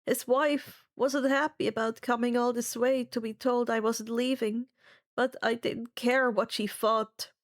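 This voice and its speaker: A woman narrating fan fiction in an expressive audiobook style. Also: on the high side, measured pace.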